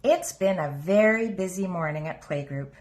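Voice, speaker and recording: Expressive woman reading a children's storybook. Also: fairly low pitch, moderate pace. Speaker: children's book reader. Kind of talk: read-aloud storybook narration for young children.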